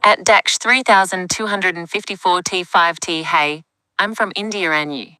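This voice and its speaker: A synthetic woman's voice reads chat messages aloud, flat and even. The tone is bright and crisp, with a clean, dry sound. It sounds computer-generated. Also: on the low side, normal speed, dry recording, low-quality recording. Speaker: text-to-speech chat reader.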